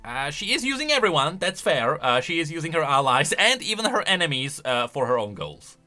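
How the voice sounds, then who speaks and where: A man giving casual, emphatic commentary as a gaming YouTuber, excitable in his delivery. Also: fairly high pitch, brisk, soft background music. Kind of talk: casual lore commentary, reacting to voice lines.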